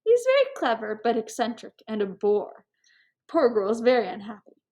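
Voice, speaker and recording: A woman reader voices a gushing, meddlesome society hostess from a classic storybook. Her voice sits somewhat high, and her manner is courtly and confiding, tinged with coy melancholy. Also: big pitch swings, moderate pace, darkish tone. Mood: confiding, persuasive.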